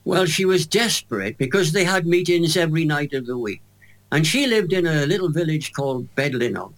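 A man's voice: a little high, brisk. An older Welsh man, an evangelist guest on a radio interview, telling stories emphatically with humour. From Wales. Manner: emphatic, animated storyteller with humour.

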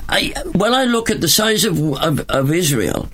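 A man's voice: high-pitched, big pitch swings, normal speed, a bit bright. A Welsh man in his seventies, a pastor who is a studio guest, preaching emphatically in an interview. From Wales. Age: 70s. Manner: emphatic, preacherly, jokes with a chuckle.

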